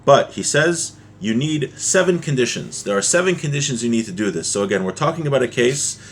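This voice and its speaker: A man's voice: medium pitch, animated, fairly quick, slight background noise. A man giving an informal religious lesson as a teacher, explaining a text with everyday examples.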